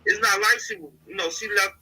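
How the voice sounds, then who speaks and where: A woman calling in to a live show, congested and soft-spoken, talking hesitantly with many fillers. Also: medium pitch, fairly even intonation, fairly quick, breathy edge, low-quality recording. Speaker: caller. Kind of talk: casual phone-in chat.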